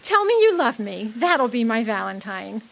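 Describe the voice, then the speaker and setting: A woman's voice: fairly high pitch, sing-song, normal speed, creaky. A woman acting in a radio drama as an adoring, earnest young sweetheart, romantic and hopeful.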